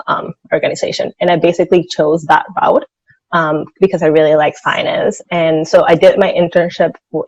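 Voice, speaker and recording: A woman's voice: low voice, a little mellow, no room sound, dull audio. A woman in her thirties from Venezuela, answering interview questions conversationally and informally, often saying "like". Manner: informal, peppers sentences with "like". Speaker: interview guest, senior financial analyst.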